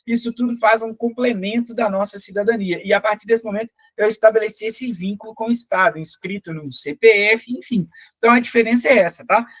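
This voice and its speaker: A man's voice: sits high, a bit bright, no echo. A man from Belo Horizonte with a Minas Gerais Brazilian accent, giving an explanatory exam-prep lecture in a didactic way with frequent check-in questions. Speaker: teacher, police or military sergeant.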